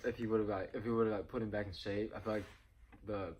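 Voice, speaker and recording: A young man hosting a casual movie review chat, talking excitedly and acting out lines from the film. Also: on the low side, brisk, slight background noise. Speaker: host.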